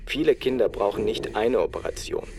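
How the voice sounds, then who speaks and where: Man as sober radio reporter, terse and deliberate, medium pitch, faint ambience behind. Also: background noise, natural voice. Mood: matter-of-fact. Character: sober male reporter recounting a bleak field search. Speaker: reporter-narrator. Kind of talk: literary radio feature narration.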